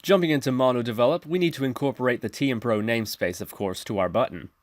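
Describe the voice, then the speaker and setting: A man narrating a software tutorial in an instructional, step-by-step manner. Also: medium pitch, fast talker, dry recording, studio quality. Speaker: tutorial presenter.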